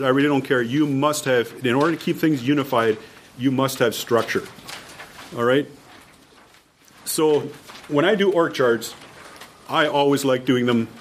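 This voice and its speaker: A man's voice: medium pitch, a little hiss or hum. A man with a Canadian accent giving an informal instructional talk to a group, emphatic and repeating his key points. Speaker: mission leader presenting at a workshop.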